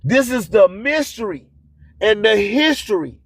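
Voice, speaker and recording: A man's voice: sits high, big pitch swings, a little slow, dry recording. A man hosting a radio show, delivering a preachy, emphatic spoken-word motivational monologue full of repeated catchphrases. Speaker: radio host.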